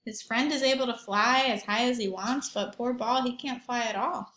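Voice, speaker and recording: A woman reading a storybook aloud to young children like a teacher, expressively, pausing for simple questions and comments. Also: on the high side, a bit bright, a little hiss or hum. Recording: roomy echo.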